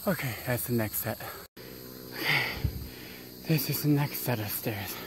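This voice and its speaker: A travel vlogger talks casually and slowly in short remarks, at a middling pitch. The voice is caught on a handheld recorder outdoors, with clear ambient noise and insects around it. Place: outdoors on a mountain temple stairway and summit. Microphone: handheld phone or camera held by speaker.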